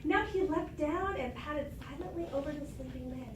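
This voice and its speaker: A woman's voice: high-pitched, moderate pace. A woman teacher reading a storybook aloud to children, prompting them and thinking aloud. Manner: prompting, thinking aloud, addressing pupils as readers. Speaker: primary school teacher. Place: classroom. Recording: echoey classroom, distant mic, noisy.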